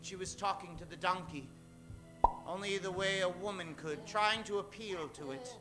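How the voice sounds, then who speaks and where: A man narrating a comedic old-time radio play with theatrical delivery and dramatic pauses. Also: sits high, music in the background.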